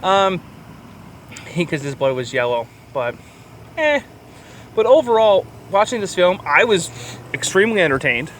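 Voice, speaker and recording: A man with a slightly higher-pitched voice chats casually about films as a podcast co-host. He is irreverent and loose with profanity. A faint layer of background noise sits behind his voice. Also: sing-song, moderate pace, long pauses, fairly bright tone. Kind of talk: casual film review chat. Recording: clear background urban ambient noise.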